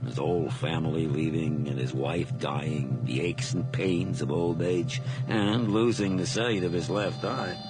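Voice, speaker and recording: An actor playing a plain-spoken, folksy officer in an old radio drama, speaking in a reasonable, deliberate way. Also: male voice, deep voice, steady tone, over loud music. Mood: somber, matter-of-fact.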